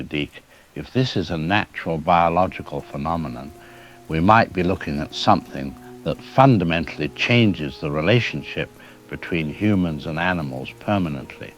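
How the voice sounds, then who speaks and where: A man playing a serious, measured scientist explaining things as a radio interview guest in an audio drama. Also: low-pitched.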